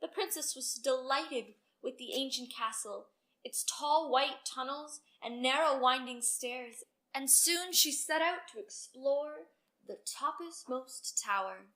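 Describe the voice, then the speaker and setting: A woman storyteller reading a children's storybook aloud with expressive narration. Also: high-pitched, slow pace.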